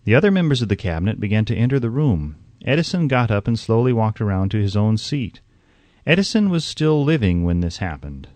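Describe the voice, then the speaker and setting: A man reading an audiobook in a measured, deliberate storytelling manner. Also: lowish voice, sing-song, darkish tone, dry recording. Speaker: audiobook narrator. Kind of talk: scripted audiobook narration.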